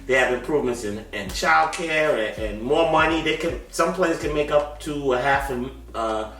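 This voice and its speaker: A man's voice: fairly high pitch, normal speed. Laid-back man talking sports, echoey. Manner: relaxed, knowledgeable, trails off often. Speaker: podcast co-host. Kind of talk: casual sports talk. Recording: echoey room, far from mic, faint music.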